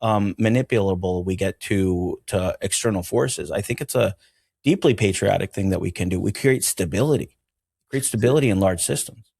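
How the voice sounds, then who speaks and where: Man from Venezuela, deep-set creaky voice, reflective interview guest, emphatic repetition, conversational. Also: moderate pace, darkish tone, no room sound, studio quality. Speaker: interview guest, mental healing educator.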